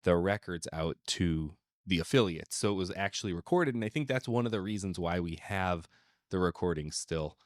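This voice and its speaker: A man's voice: deep voice, lively intonation, normal speed, dry recording, studio quality. A man co-hosting a podcast, talking casually and without a script. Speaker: podcast co-host. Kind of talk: casual, unscripted podcast chat.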